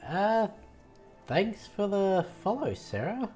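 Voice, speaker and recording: A man's voice: sits high, sing-song, takes it slowly, a little mellow. A young man with a light Australian accent casually commenting as he plays a game on stream, musing aloud to chat. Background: game music.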